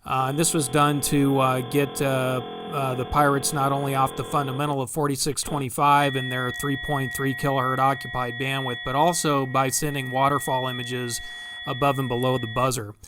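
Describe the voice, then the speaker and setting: A man hosting a video, explaining in an unhurried, halting way, pausing often as he walks through examples. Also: medium pitch, flat delivery, music in the background. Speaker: host.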